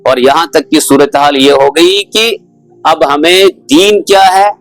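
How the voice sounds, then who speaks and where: Higher-pitched male preacher, dry sound. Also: steady tone, takes it slowly, dry recording, soft background music, poor audio. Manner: didactic, repeats phrases and asks rhetorical questions. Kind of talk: sermon, explaining religious rulings with stories.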